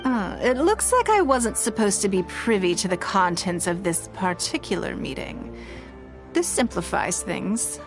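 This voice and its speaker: A woman voicing a composed, shrewd female leader in a video game, speaking in a measured, authoritative way. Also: mid-range voice, sing-song, music in the background. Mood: confident. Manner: poised, measured, commanding.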